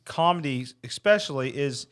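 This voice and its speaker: A man's voice: fairly high pitch, lively intonation, moderate pace. A man co-hosting a podcast in casual chat, telling stories with enthusiasm and often repeating himself. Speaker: podcast co-host.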